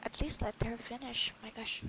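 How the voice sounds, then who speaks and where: A woman's voice: on the high side, fairly even intonation, moderate pace, sharp tone, slight background noise, poor audio. A woman playing a video game and reacting with casual, offhand asides. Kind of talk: casual gameplay commentary.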